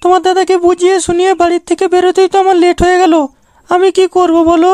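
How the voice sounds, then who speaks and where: A woman's voice: very high voice, completely flat delivery, fast talker, darkish tone, no room sound, studio quality. A woman voicing a coy, sweet young married woman in a comic cartoon, with a pleading manner. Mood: apologetic. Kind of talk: comic amateur cartoon dialogue.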